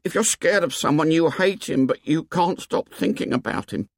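A man's voice: a little high, big pitch swings, normal speed, gravelly, no room sound, studio quality, pitched above the performer's own voice, full character voice. A man voicing an anxious, sensible schoolboy in an audiobook, pleading and insistent in colloquial grammar. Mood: anxious, earnest.

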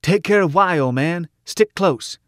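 A man voicing a big, brave fighter who shouts terse, urgent orders in an audiobook. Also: high-pitched, slow, dry recording, clean studio sound, voice pushed up.

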